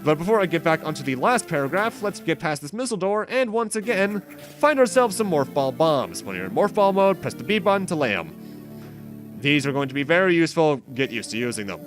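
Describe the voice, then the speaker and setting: A man's voice: fairly high pitch, sing-song, brisk. A man hosting a video game playthrough, giving commentary with rambling, self-interrupting asides. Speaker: host of a game playthrough series. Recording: game music behind the voice. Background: video game music.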